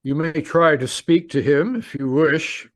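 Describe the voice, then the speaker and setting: A man acting a stern, calm guide to the dead in an old-time radio mystery, speaking formally and with measured solemnity. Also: on the high side, no echo, lightly acted.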